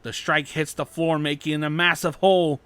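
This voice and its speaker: A man's voice: fairly high pitch, flat delivery, normal speed, fairly bright tone, smooth, no echo. A man narrating scripted fan fiction as an audiobook.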